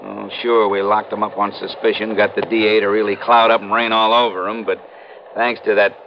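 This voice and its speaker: An American actor playing a dogged, frustrated police lieutenant in 1950s radio drama, talking colloquially and emphatically. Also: male voice, fairly low pitch, level tone, brisk, gravelly. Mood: frustrated, insistent. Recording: dull, noisy old radio recording.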